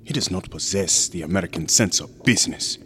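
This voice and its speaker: An actor playing a courteous, guarded museum assistant in a radio horror drama, formal and deliberate in manner. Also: male voice, sits low, animated, bright, forceful tone, a little hiss or hum. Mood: courteous, cautioning. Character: courteous, guarded male assistant to a sinister sculptor.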